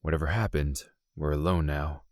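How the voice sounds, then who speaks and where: A dutiful knight from a fantasy audio drama, acted by a man. He is low-pitched and formal, cautious and composed, recorded very dry. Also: steady tone, normal speed, darkish tone, dry recording. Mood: composed, concerned.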